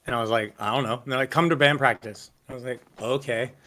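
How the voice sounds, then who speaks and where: Low voiced guy rambling thru band stories, bright edge, lots of um and like, swears a bit, on a video call. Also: fast talker. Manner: rambling, lots of fillers and restarts, swears casually. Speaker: singer interviewee. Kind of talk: casual interview storytelling.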